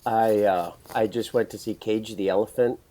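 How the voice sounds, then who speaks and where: A man hosting a podcast in a casual, rambling interview chat, his voice raspy from screaming at a concert. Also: on the low side, steady tone, normal speed, mellow. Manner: chatty, rambling, laughs often. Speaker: podcast host.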